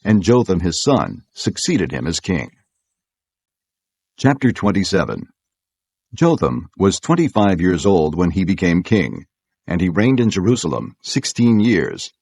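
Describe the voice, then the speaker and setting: A man with a deep, husky voice narrates scripture as solemn, measured storytelling. His intonation is richly varied. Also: big pitch swings, a little mellow, dry recording.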